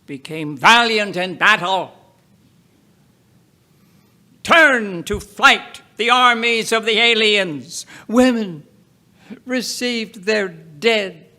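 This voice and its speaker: A man reading scripture aloud in a solemn, dramatic style, deliberate and emphatic, breaking the text into short phrases with pauses. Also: sits high, bright, forceful tone. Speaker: Bible reader.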